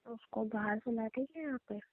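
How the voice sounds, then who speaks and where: A woman's voice: a little high, normal speed, close to an everyday voice. A woman playing a hot-tempered, stubborn young wife in an audio drama, who argues with her husband in snappy retorts and stammered repeats. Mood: hesitant, worried. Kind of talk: audio roleplay drama, conversational. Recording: narrow phone-like sound.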